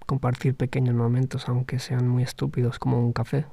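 A man's voice: mid-range voice, completely flat delivery, brisk, vocal fry, warm tone, no room sound. A man hosting a podcast, talking through a personal reflective monologue in a hesitant, thoughtful way with frequent restarts. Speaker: podcast host.

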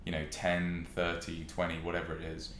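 Young male podcast guest, southern English, low-pitched, casual, echoey recording. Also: monotone, moderate pace, a bit bright. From West Sussex, England. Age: young adult. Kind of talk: casual chat, telling own experience. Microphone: podcast microphone. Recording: roomy echo with faint noise.

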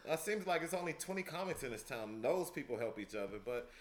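A man, a stand-up comedian, chatting on a podcast as a guest and telling stories in an animated, loud way, acting out the scenes. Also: on the high side, quick pace, roomy sound. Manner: animated, loud storyteller who acts out scenes. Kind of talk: casual podcast chat and storytelling.

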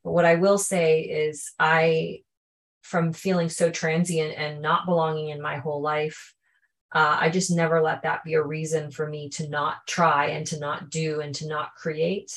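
A woman speaks as a podcast guest. Her answers are thoughtful and earnest, and she often pauses mid-sentence to find the right word. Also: sits low, flat delivery. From Canada. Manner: thoughtful, earnest, searching for words. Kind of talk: reflective interview answers.

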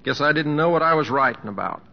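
An actor playing a folksy, wry, kindly American humorist with a homespun drawl, in an old-time radio drama. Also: male voice, a little high, animated, quick pace, vocal fry. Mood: joking. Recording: muffled old radio recording.

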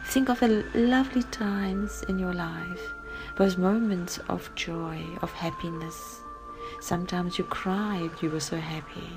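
A woman narrating a guided sleep story in a gentle, soft and breathy voice, often close to a whisper, with long pauses. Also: lowish voice, steady tone. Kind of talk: soothing bedtime story, guided relaxation. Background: music.

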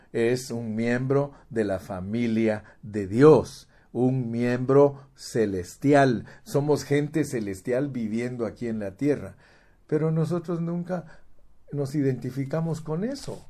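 A man preaching with a dark, mellow voice, stressing his points by repeating words. He keeps turning to the listener as brother, rising in force at key lines. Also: medium pitch. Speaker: pastor. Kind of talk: sermon. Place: United States.

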